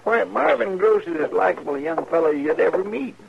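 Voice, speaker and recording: Creaky, drawling folksy frontier deputy. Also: male voice, high voice, animated, brisk, heavily acted. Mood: regretful. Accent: rural Western American drawl. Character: folksy, soft-hearted frontier deputy sidekick, earnest and plain. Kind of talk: 1950s radio drama acting. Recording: noisy, dull old radio recording.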